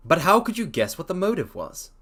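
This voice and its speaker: A man's voice: sits high, sing-song, a little fast, pushed and bright, pitched above the performer's own voice, heavily acted. A man voicing a brilliant, languid detective explaining his deductions in a theatrical storybook reading. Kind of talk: dramatic reading.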